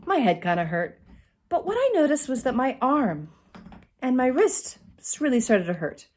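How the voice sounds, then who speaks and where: Casting: woman storyteller, middle-aged, fairly high voice with very lively swoops, theatrical delivery and dramatic pauses. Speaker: storyteller, channel host. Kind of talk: personal comedic storytelling to camera. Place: indoors, small curtained room. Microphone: phone at arm's length.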